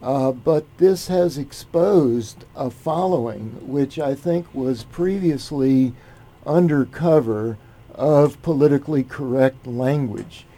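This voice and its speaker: A man hosting a talk show, asking his questions slowly and deliberately with many fillers. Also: medium pitch, dark tone. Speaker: host.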